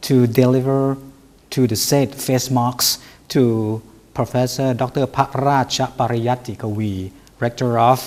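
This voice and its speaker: A man's voice: medium pitch, darkish tone. Male TV host. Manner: halting, with fillers and restarts. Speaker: TV program host. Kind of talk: news reading and studio interview.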